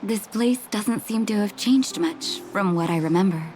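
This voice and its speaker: An actress playing a gentle, composed young woman doctor in a video game, speaking softly and with measured care. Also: medium pitch, close to an everyday voice. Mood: warm, relaxed. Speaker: game character, underground doctor. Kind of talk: acted video game dialogue. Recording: studio voice over game music.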